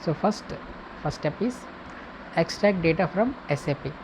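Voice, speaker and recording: A woman tutor explaining a procedure step by step, repeating phrases in short, halting segments. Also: lowish voice, lively intonation, background noise. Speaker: accounting tutor.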